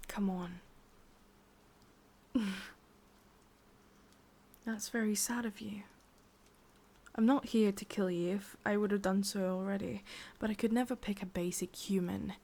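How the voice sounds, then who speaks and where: Breathy whispering English witch, played by a woman. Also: mid-range voice. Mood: teasing, condescending. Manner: soft, intimate whispering, teasing and unhurried. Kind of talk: whispered ASMR roleplay.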